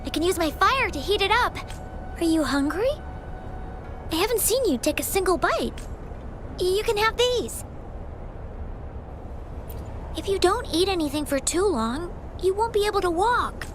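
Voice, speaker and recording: A woman voicing a young orphaned girl in a video game, earnest, gentle and eager to help. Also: extremely high pitch, expressive, normal speed, a little hiss or hum. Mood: gentle, encouraging.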